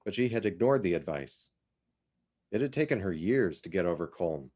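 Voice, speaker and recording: A man reads literary fiction aloud in a lowish voice. His phrasing is deliberate and dramatic, with very lively rises and falls in pitch.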